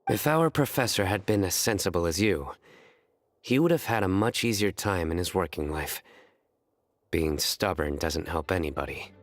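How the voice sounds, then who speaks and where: An actor voicing a solemn, stiff young male scholar and enforcer in a video game, speaking in a deadpan, formal manner. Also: deep voice, moderate pace, no room sound. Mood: sincere, measured.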